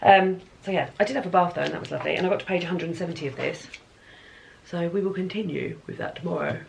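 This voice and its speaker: A woman's voice: fairly low pitch, quick pace, vocal fry, slight background noise. A woman chatting casually in a home vlog diary about her plans and reading, rambling and correcting herself as she goes. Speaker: book vlogger.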